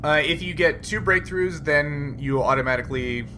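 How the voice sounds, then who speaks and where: A man's voice: a little high, moderate pace, noisy background. A man running a tabletop role-playing game, explaining rules and procedure as game master.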